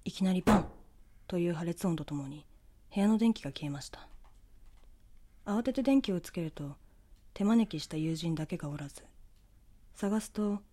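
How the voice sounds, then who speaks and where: A woman reading a scripted horror story in the first person, with measured storytelling delivery. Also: on the low side, lively intonation, dark tone, slight background noise. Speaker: ghost story narrator.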